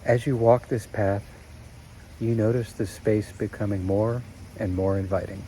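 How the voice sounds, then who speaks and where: Soothing low-voiced man guiding meditation. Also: steady tone, warm tone, a little hiss or hum, rough recording. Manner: slow, soothing, with long pauses. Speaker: meditation guide. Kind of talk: guided meditation narration.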